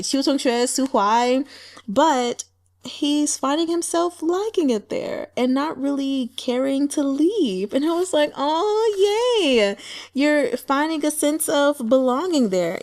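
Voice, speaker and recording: Woman w a highish voice doing chatty book commentary, animated n wry, very colloquial. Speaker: host of a book reading channel.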